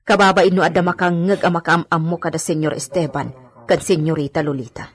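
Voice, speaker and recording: A woman's voice: on the low side, fairly even intonation, fairly quick, natural voice. An actress with a Filipino accent playing an anxious, motherly household servant in a radio drama, fretful and cautioning. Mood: hushed, cautioning.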